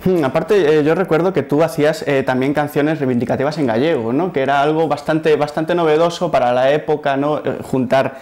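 A man's voice: on the high side, darkish tone. Guy in his 30s, journalist in a studio, stumbly repeated words, lapel mic. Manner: halting questions with repeated words. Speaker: journalist and interviewer. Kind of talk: studio interview. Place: TV studio set.

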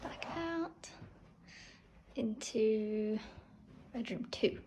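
A woman's voice: a little high, extremely slow, mellow, slight background noise. A woman casually showing viewers around an empty house, recorded on a handheld phone. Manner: chatty, narrating as she walks, often drops to a whisper. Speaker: vlogger touring a house. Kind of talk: casual home tour. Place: empty new-build house, bare rooms. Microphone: phone held while filming.

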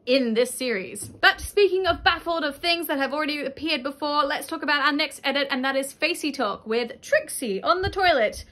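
Australian woman, 30s, mum vlogger, high voice, bright, animated and indignant, webcam in a room at home. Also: normal speed, few pauses. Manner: animated, indignant, gestures a lot while talking. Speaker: YouTube host and mum. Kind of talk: casual commentary to camera. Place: indoor room with a patterned blanket behind her.

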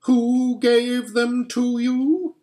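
A man's voice: very high-pitched, level tone, very slow, warm tone, voice pushed up, full character voice. A man voicing a furious, cruel witch queen in a storybook, with a haughty, commanding delivery. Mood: imperious anger.

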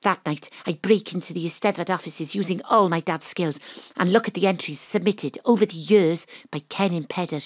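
A woman's voice: lowish voice, normal speed, hardly any pauses, no room sound, clean studio sound, close to an everyday voice. A woman playing a wry, self-mocking private detective in an audio drama, narrating theatrically. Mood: hushed, florid. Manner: theatrical, relishing alliteration.

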